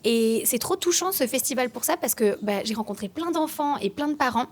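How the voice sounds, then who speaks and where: A woman voice actress chatting in a relaxed interview, excitable and animated, quick to laugh. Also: sits high. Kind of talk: casual interview chat. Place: at a voice-acting festival.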